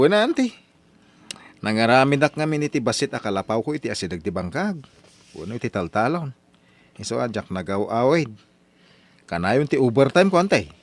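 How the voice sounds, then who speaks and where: A man playing a young laborer out of work who is earnest and animated. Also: mid-range voice, normal speed, long pauses. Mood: hopeful. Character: young man, jobless laborer turning to farming. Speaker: lead character. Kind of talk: radio drama acting.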